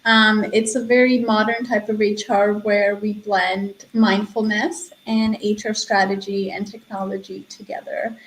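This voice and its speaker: A woman from Romania co-hosting a podcast, telling her story in a relaxed, reflective way with light laughs. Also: mid-range voice, unhurried, hardly any pauses, roomy sound, poor audio. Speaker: co-host, HR consultant. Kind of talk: casual podcast chat.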